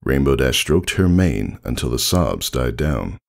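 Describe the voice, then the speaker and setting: Man, extremely deep voice, fry-edged, animated intonation, dramatic scripted story narration. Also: measured pace. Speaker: fan fiction narrator.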